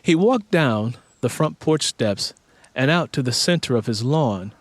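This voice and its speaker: A man's voice: mid-range voice, animated, unhurried, dry recording. A man reading scripted fiction as an audiobook narrator.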